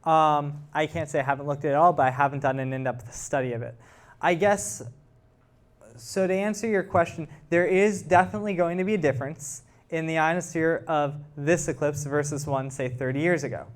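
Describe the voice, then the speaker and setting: A man's voice: a little high, brisk. A man, a guest researcher, answering audience questions in an informal, explanatory way and thinking aloud. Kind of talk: informal scientific Q&A after a talk.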